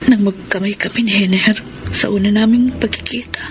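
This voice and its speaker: A woman's voice: mid-range voice, fairly even intonation, brisk, over a noisy crowd, close to an everyday voice. A Filipino woman acting a tender, wistful woman in love in a radio drama. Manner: tender, reminiscing. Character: wistful romantic woman remembering her beloved. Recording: radio drama with loud music behind.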